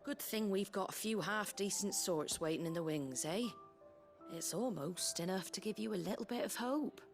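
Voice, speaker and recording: An actress plays a tough but kindly British innkeeper in a fantasy game. She talks in an earthy, colloquial way with dry wit and a brisk edge. Her voice sits at a middling pitch, with music playing behind it. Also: natural voice. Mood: reflective, warm. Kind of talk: video game character dialogue.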